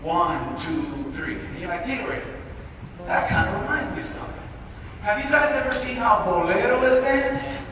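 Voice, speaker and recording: A man with a high-pitched voice delivers an emphatic, preachy talk to a live group. He leans on rhetorical questions and slips into imagined dialogue. The sound is distant and swimming in heavy echo, with clear noise over it. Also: lively intonation, moderate pace. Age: middle-aged. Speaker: dance workshop speaker. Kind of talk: impassioned informal public speech to a live group. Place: large echoing room with a live audience. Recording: very echoey noisy hall, far from mic.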